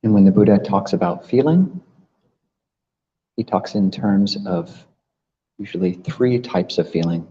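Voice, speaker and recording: A man leading a guided meditation as a teacher, gently instructing with long pauses between phrases. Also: deep voice, husky. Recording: muffled, long reverberant hall echo.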